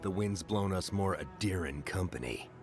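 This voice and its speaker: A deep-voiced male defender, blunt and commanding as he holds his post in a video game. His voice sits very low, with clear music playing behind him. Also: lively intonation, normal speed. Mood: firm. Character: gruff male fighter guarding a tower.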